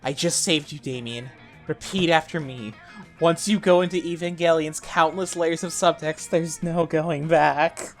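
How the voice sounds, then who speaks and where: A woman playing a cheerful former deity turned monster high-school girl, earnest and expressive, in a game fan dub. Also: unhurried, soft background music. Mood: dramatic warning.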